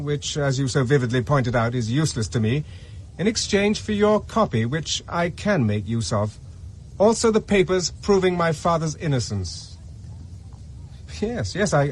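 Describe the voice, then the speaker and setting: A man's voice: fairly high pitch, slight background noise. A man with a British accent playing a resourceful, wary former army captain on the run in a radio drama.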